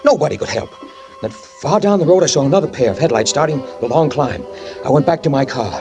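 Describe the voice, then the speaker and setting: An actor playing a guilt-ridden man who fled a fatal crash, narrating in the first person in a 1940s radio drama with tense, halting speech that stammers and repeats. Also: fairly high pitch, expressive, close to an everyday voice. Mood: shaken. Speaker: narrator and lead character. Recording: old radio recording with music behind.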